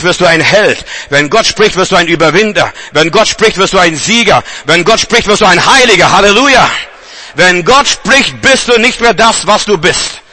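A man's voice: on the high side, bright, forceful tone. A man from Germany preaching a charismatic sermon with emphatic, repeated refrains.

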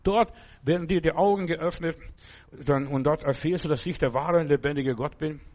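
Emphatic preacher man, slightly high voice. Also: normal speed, a little mellow. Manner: emphatic, keeps asking listeners whether they follow; speaks in short phrases with sudden pitch and volume jumps. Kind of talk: sermon.

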